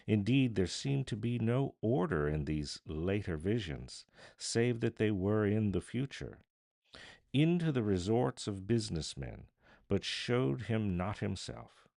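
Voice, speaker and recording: A man reading a classic story aloud as a dramatic narrator, theatrical and deliberate, pausing between phrases. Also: on the low side, big pitch swings, vocal fry, dark tone, dry recording, clean studio sound. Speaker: narrator, live reader.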